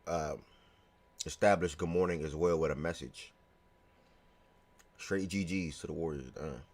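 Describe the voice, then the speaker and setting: A man hosting a live sports talk stream, chatty and relaxed, greeting viewers by name, his voice a little hoarse. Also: rumbling low, a little slow. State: slightly hoarse from yelling the day before. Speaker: live show host.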